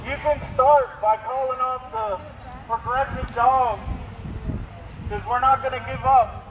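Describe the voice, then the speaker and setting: An American man giving a confrontational protest speech through a bullhorn, halting mid-phrase as he challenges an official. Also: very high-pitched, steady tone. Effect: bullhorn amplification. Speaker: protest activist challenging the governor. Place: outdoors at an official's doorstep. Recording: echoey, reverberant noisy outdoor bullhorn recording.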